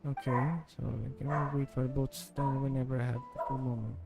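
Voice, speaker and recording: A man's voice: mid-range voice, flat delivery, fast, vocal fry. A man from the Philippines with a Filipino accent, live streaming a game with casual commentary and reading dialogue aloud. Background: game music.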